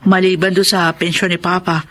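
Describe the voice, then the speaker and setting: A woman's voice: on the low side, little pitch movement, fairly quick, fairly bright tone, close to an everyday voice. A Filipino woman acting as a pregnant wife and responsible older sister in a radio drama. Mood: confiding.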